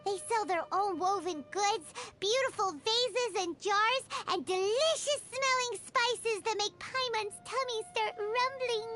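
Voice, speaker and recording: A woman voicing a small, childlike flying companion in a video game, squeaky, bubbly and excitable. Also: a little slow, hardly any pauses, over music. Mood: eager. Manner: bubbly, exclamatory, speaks of herself in third person. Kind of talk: scripted video game dialogue.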